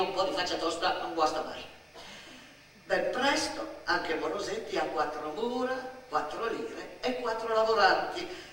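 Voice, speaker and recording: A middle-aged woman delivering a theatrical storytelling monologue, expressive and emphatic, with dramatic pauses. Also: medium pitch. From Italy. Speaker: stage actress and storyteller. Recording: very echoey, distant mic, faint noise.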